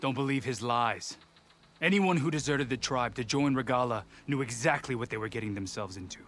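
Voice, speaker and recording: An actor playing a proud, suspicious village leader with an accusing, declamatory manner in a video game. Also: male voice, medium pitch, a little fast, fairly bright tone, a little hiss or hum, close to an everyday voice. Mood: stern. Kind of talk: video game cutscene acting.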